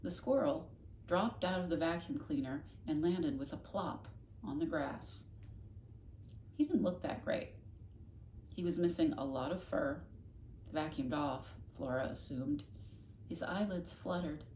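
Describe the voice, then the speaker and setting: A woman reading a children's novel aloud expressively, with dramatic, deliberate emphasis. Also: deep voice, dark tone. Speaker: storybook reader, classroom host. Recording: echoey room, faint noise.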